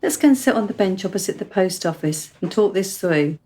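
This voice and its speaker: Precocious girl played by an adult woman, articulate, forthright and insistent, mid-pitched, for radio drama. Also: expressive, a little fast, warm tone, natural voice. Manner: articulate, formal wording, insistent. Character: precocious, forthright, sharply probing young girl. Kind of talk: radio play acting.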